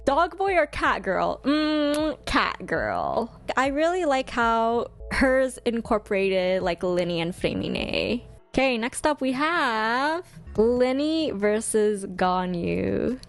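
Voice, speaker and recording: A woman streamer chatting casually with her viewers, commenting in an expressive, gushing way and thinking her choices through out loud. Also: a little high, slow, few pauses. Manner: expressive, gushing, deliberating aloud. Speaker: game streamer and host.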